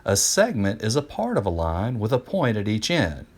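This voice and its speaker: A man's voice: on the low side, wildly swooping pitch, moderate pace, breathy edge, heavily acted. A man voicing Euclid, a patient and enthusiastic ancient Greek teacher explaining ideas emphatically in a children's storybook. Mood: eager explaining.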